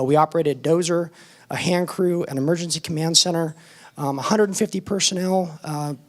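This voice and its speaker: A hoarse man presents to a forum audience, his voice worn from weeks of teaching. He sits a little high for a man and talks in a loose, informal way, full of ums and uhs. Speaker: fire department battalion chief presenting at a forum.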